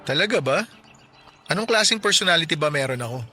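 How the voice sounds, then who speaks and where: Nice modest young guy on a date, audio drama, bright higher voice, bit of music under. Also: lively intonation, brisk, heavily acted. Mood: agreeable, modest. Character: modest, caring young man, attentive suitor. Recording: light music bed behind.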